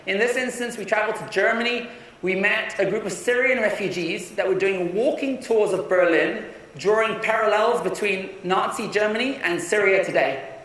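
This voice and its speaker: A young man giving a conference talk in an energetic, persuasive way, often restarting his sentences. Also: sits high, moderate pace. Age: young adult. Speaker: conference speaker. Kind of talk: keynote talk. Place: large conference hall. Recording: echoey hall from far off.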